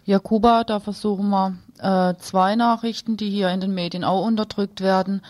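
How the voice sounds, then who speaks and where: A woman works as a radio news presenter. Her voice sits at an average pitch, recorded close and dry with very little room sound. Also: steady tone, moderate pace, darkish tone, dry recording.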